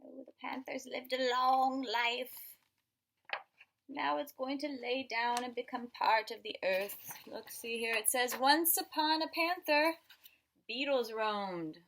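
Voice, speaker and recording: A woman teacher reading a picture book aloud to young children, expressive and instructive, stretching words for emphasis and pausing to ask questions. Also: high voice, gravelly, a little hiss or hum.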